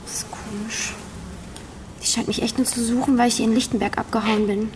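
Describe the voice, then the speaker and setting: A woman's voice: a little high, fairly even intonation, fairly quick, darkish tone, a little hiss or hum, close to an everyday voice. An actress playing a wary young runaway woman who talks colloquially and questioningly in a radio drama.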